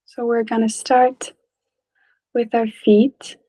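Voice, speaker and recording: Calm lady doing guided meditation, highish voice but very even, gentle, lots of pauses. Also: rough recording. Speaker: meditation teacher.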